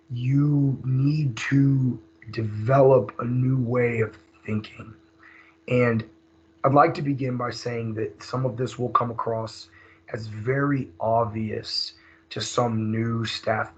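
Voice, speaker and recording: A hoarse man, leading a recorded teacher training seminar in a conversational way, with many fillers and restarts. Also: mid-range voice. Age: 30s. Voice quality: raspy. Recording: dull lo-fi screen recording.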